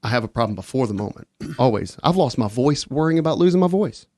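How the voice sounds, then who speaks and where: A man's voice: mid-range voice, animated, fast talker, dark tone, no echo. Rambling storyteller man, dry close sound. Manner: rambling, self-interrupting storyteller. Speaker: guest. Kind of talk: casual podcast storytelling.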